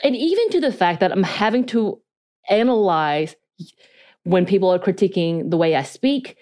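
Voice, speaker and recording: A woman's voice: mid-range voice, animated, normal speed, darkish tone. A woman co-hosting a podcast, a native Korean speaker who grew up in North Georgia, talking frankly and with self-deprecating humor. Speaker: podcast co-host. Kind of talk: candid conversational podcast chat.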